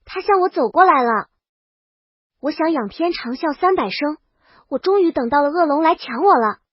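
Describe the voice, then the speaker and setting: A woman's voice: high voice, expressive, normal speed, dry recording, muffled recording. A woman narrating a comedic story in the first person, in storybook style. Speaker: audiobook narrator.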